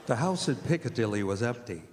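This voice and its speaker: A man's voice: medium pitch, animated, brisk, darkish tone, close to an everyday voice. A man playing a sober narrator and vampire hunter in an old-time radio drama, telling events with portentous, dramatic phrasing. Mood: grim narration. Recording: live theater stage, long hall reverb.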